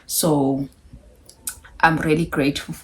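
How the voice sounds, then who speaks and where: A woman's voice: low voice, level tone, slow pace, a little mellow, breathy edge, rough recording. A South African woman in her thirties talking to camera in a chatty, candid vlog with wry asides. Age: 30s. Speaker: vlogger.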